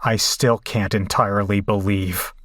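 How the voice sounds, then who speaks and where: A man's voice: lowish voice, steady tone, unhurried, heavy background noise, close to an everyday voice. A man playing a shaken horror survivor, narrating a bloody escape in a grave, dramatic audio drama style. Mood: rising dread.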